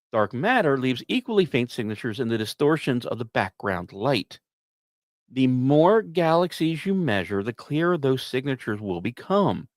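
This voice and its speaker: A male science podcast host with an average-pitched voice reads semi-scripted commentary. He slips in wry asides and sometimes trips over or repeats a word. The sound is dry, with very little room in it. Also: no room sound.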